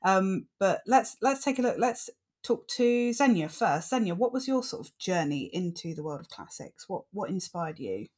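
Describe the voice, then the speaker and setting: A woman's voice: medium pitch, big pitch swings, moderate pace, darkish tone. Chatty woman co-hosting, teasing and self-deprecating. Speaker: podcast co-host, interviewer. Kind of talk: casual podcast chat.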